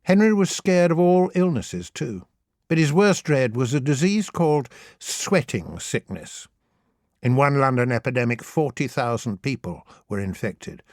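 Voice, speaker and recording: A man's voice: medium pitch, big pitch swings, a little slow, dry recording, studio quality. A man reading scripted humorous history as an audiobook narrator, in a wry, theatrical storytelling manner.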